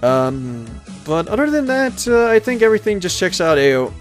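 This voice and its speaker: A man's voice: high-pitched, sing-song, moderate pace. A young man giving casual, hesitant, rambling gameplay commentary as a let's player, easily amused by what he plays. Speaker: video game let's player. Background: game music.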